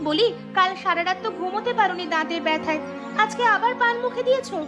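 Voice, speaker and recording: A woman voicing a caring young village daughter who coaxes and gently nags in an animated story. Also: extremely high pitch, fast, over music. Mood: worried, chiding. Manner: earnest, coaxing.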